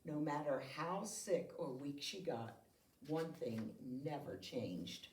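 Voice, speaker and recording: A woman reading a children's picture book aloud with deliberate, expressive phrasing meant for young listeners. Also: low-pitched, a little hiss or hum. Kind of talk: read-aloud storytelling. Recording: roomy echo, distant microphone.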